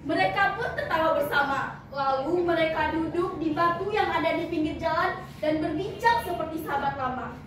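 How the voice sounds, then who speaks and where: A teenage girl performs a folk tale on stage with a very high voice, lively and dramatic, turning to the audience often. Her voice bounces around a big echoey hall. She is picked up from far off, with noise behind her. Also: moderate pace, few pauses. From West Sumatra, Indonesia. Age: teen. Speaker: student storyteller. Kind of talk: expressive storytelling performance.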